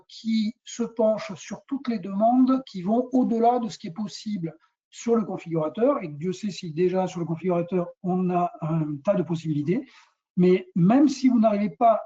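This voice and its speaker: A woman's voice: mid-range voice, warm tone. A woman giving an unscripted product presentation in a webinar, explaining step by step and often hesitating and repeating words. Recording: dull, muffled lo-fi webinar audio.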